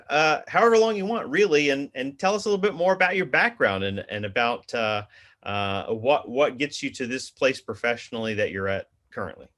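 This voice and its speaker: Bright-voiced professor, rambling intro. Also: male voice, medium pitch. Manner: rambling, many fillers and restarts. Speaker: professor and host. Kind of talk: class interview introduction.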